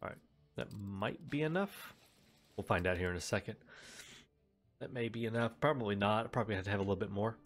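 A man with a stuffy head cold talks through his game in a relaxed, rambling way, often stopping to correct himself. His voice sits fairly low and sounds nasal. Soft music plays quietly behind him. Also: fairly quick, pauses often. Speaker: let's play host. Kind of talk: casual gameplay commentary.

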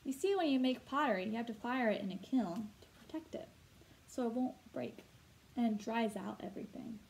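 Higher-pitched woman reading a children's storybook. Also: normal speed, vocal fry, a little mellow, slight background noise, low-quality recording. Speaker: teacher reading a picture book aloud. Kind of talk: expressive children's read-aloud narration. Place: indoor room at home.

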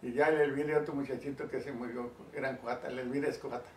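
A man's voice: medium pitch, fairly even intonation, darkish tone. Older Sinaloan rancher man, heard from across a room. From Sinaloa, Mexico. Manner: slow, plain storytelling, seated in an armchair. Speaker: grandfather being interviewed. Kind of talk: recalling ranch life in casual conversation. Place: home living room, indoors. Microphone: camera mic from across the room. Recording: roomy, distant home video with hiss.

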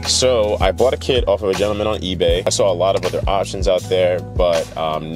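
Low-voiced young American man vlogging. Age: young adult. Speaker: car vlogger. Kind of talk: casual talk to camera. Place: inside a parked car, later a garage. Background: background music.

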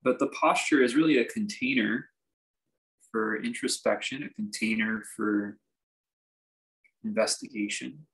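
A man teaching a guided meditation, speaking in measured, halting phrases with reflective pauses. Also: lowish voice, fairly bright tone, low-quality recording. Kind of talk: guided meditation, unscripted instruction.

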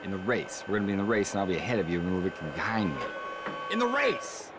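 A smug cartoon villain race car with an American accent. He sneers and needles in a low voice, with engines and trackside noise clearly behind him. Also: wildly swooping pitch, fast talker. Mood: smug, taunting.

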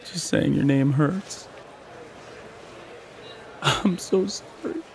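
A man playing a bitter, grieving soldier recording a personal audio log in a halting, emotional way for an audio drama. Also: a little high, vocal fry, a little hiss or hum, full character voice. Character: grieving, bitter, despairing male soldier in therapy.

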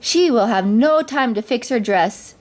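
A woman's voice: a little high, expressive, measured pace. A woman voicing eager, kindly, chirpy little mice in a storybook. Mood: concerned.

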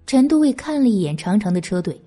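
A woman's voice: medium pitch, very animated, quick pace, dark tone, slightly rough. A woman narrating a historical novel in scripted audiobook style. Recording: background music. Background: music.

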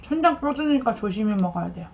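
A woman's voice: medium pitch, animated, quick pace, a little mellow. A young woman streaming herself eating, chatting casually, thanking viewers and murmuring between bites in a small room. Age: young adult. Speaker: mukbang streamer. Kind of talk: casual live-stream chat while eating. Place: small room indoors at a table. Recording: dull lo-fi stream audio, faint noise.

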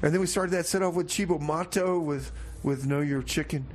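Male music-show co-host, a little high in pitch, casual and comedic, repeats himself, faint noise behind. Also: brisk. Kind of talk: casual comedic banter and song back-announcing.

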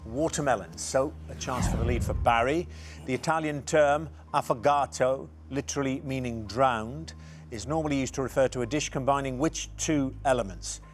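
A man's voice: medium pitch, faint music behind. A middle-aged man hosting a studio quiz show, reading questions and chatting with contestants. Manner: brisk, reads questions clearly.

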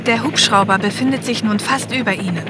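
A woman's voice: medium pitch, brisk. Woman narrating a story over background noise. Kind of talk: scripted story narration, present tense. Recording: clear helicopter and effects noise behind. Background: ambience, helicopter, vehicles.

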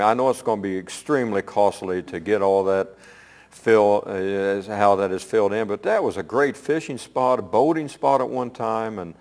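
Older guy hosting a local interview show, lowish voice, kinda halting and folksy, wanders around. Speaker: host. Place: small TV studio.

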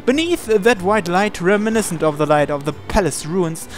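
A man's voice: sits high, lively intonation, moderate pace. A man reading visual novel narration aloud as a gaming channel host, dropping in casual asides. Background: game music.

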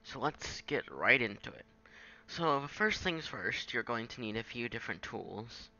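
Bright, creaky-voiced man giving casual tutorial. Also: mid-range voice, animated, highs cut off. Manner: informal, halting, thinking aloud. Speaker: tutorial presenter. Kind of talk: casual screen-capture software tutorial.

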